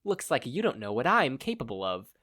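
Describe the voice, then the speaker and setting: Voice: mid-range voice, very animated, a little fast, fairly bright tone, slightly rough. A performer voicing a confident, wisecracking woman superhero with casual, taunting quips in an audio story. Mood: mocking.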